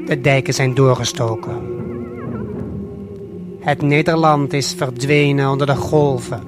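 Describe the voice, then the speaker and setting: A man with a slightly high voice tells a scripted story straight to the listener. He speaks very slowly in short, measured, hypnotic phrases with deliberate pauses, while music plays clearly behind him. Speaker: narrator and guide of an audio play. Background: prominent music.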